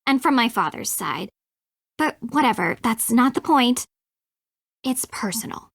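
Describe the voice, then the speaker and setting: A woman's voice: a little high, lively intonation, a little slow, creaky, dry recording, clean studio sound, full character voice. An actress voicing a snippy, defensive young American woman in an adventure game, sarcastic, clipped and emphatic. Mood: defensive, firm. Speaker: video game character, voice actress. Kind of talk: acted dialogue in an adventure game.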